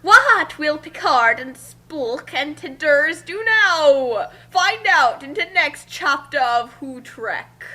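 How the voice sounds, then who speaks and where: Animated, very high-voiced woman, playful chat. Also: takes it slowly, hardly any pauses. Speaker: co-commentator. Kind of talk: casual, playful group chat.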